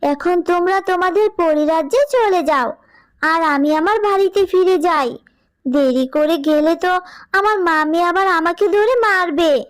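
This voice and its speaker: A woman voicing a kind, gentle young village girl in a cartoon, earnest and soft-spoken. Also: unhurried, dry recording, studio quality. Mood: relieved. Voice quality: very high, childlike. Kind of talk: cartoon dubbing.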